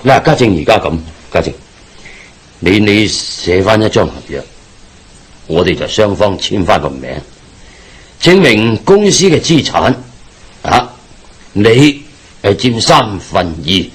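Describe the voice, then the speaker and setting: A man's voice: lowish voice, big pitch swings, a little slow, pauses often, a bit bright, husky, exaggerated voice. An actor playing a frightened, guilt-ridden businessman uncle in a Hong Kong Cantonese radio drama, stammering and repeating himself nervously. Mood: placating, nervous. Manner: nervous stammering, anxious repetition.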